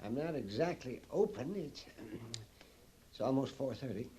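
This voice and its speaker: An actor playing a nervous, suspicious army post storekeeper in a radio Western, fussy and hesitant, with a husky, creaky voice that drops to whispers. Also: male voice, medium pitch, lively intonation, moderate pace, dark tone. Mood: nervous, secretive. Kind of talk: old-time radio Western acting. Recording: dull old radio recording.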